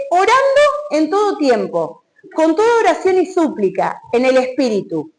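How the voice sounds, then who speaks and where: A woman's voice: high-pitched, rough recording. A woman preaching a sermon, emphatic, and putting questions straight to the congregation.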